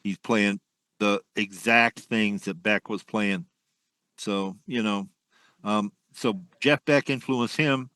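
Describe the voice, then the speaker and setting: An older man, a former musician, reminiscing at length in a casual interview, rambling with many pauses. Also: on the low side, bright, forceful tone, clean tone, dry recording. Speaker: guest, musician.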